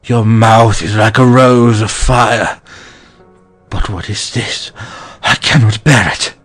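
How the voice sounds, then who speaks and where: A man's voice: lowish voice, fairly even intonation, slow, a bit bright, faint music behind. A man playing an earnest, lovesick lover, ardent and melodramatic, in an audiobook verse drama. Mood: rapturous.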